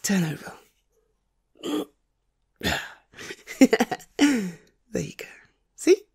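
A woman playing a sleepy, tender, playful girlfriend in an ASMR roleplay, speaking softly and coaxingly with a breathy, often whispered delivery. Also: low-pitched, huge pitch swings, heavily acted. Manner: soft, coaxing, affectionate, unhurried.

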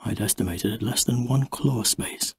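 A man narrating an audiobook in an expressive, dramatic storybook style. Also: mid-range voice, normal speed, breathy edge.

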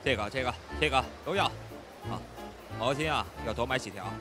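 A man's voice: medium pitch, normal speed, lightly acted. A man playing a brash, carefree young spendthrift in an audio drama. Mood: casual. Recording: loud background music.

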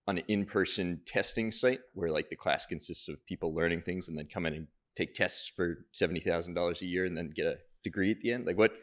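Young man, very low voice, audience question, handheld mic, lecture hall, lots of "like" fillers. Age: young adult. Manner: halting, many "like" and "um" fillers. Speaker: audience member asking a question. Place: lecture hall seating, standing.

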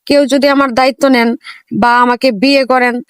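A woman's voice: high voice, level tone, moderate pace, smooth, no echo. A woman gives earnest, plain-spoken, pleading answers as an interview guest. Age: 20s. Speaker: interview guest seeking marriage.

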